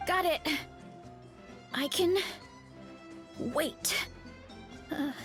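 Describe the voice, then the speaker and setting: A woman's voice: sits high, extremely slow, a bit bright, full character voice. A woman voicing a sweet, hesitant young warrior girl in a video game, dreamy and easily distracted. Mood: drowsy, hungry. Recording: music behind the voice.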